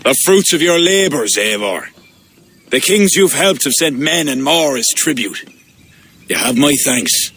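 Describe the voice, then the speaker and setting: A stately, commanding High King. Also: animated, creaky, a bit bright, husky, exaggerated voice. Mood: welcoming, grateful. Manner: formal, measured, commanding. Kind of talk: video game cinematic acting.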